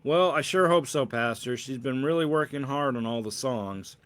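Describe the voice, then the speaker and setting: A man's voice: mid-range voice, moderate pace, a bit bright, no echo, natural voice. A man voicing an eager, awkward churchgoing husband in a storybook, chatty and keen to please.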